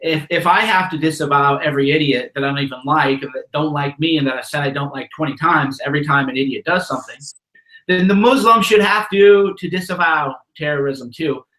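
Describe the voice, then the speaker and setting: A man's voice: on the high side, fairly quick, bright, forceful tone, roomy sound, poor audio. A man hosting a casual interview, asking questions and giving his frank take with frequent restarts. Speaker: host of call-in show.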